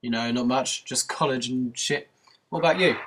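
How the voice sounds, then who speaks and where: An awkward young male college student played by an amateur actor, chatting casually. He is friendly and unsure of himself, with a mid-pitched voice. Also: moderate pace, fairly bright tone, slight background noise, close to an everyday voice. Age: young adult. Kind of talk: casual acted dialogue.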